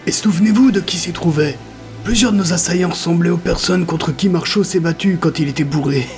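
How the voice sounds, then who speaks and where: Alarmed soldier, high urgent voice; a male narrator puts him on. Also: fairly quick, darkish tone, faint music behind, natural voice.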